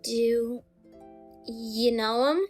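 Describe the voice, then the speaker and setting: A woman's voice: fairly high pitch, flat delivery, extremely slow, faint music behind. A woman voicing a dim, cheerful robot henchman who speaks hesitantly.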